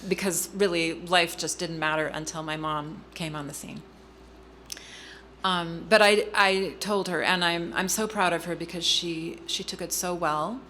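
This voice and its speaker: A middle-aged woman telling a personal family story in an interview, earnest and halting, with frequent ums and restarts. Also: fairly low pitch, fairly even intonation, sharp tone, slight background noise. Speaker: guest speaker.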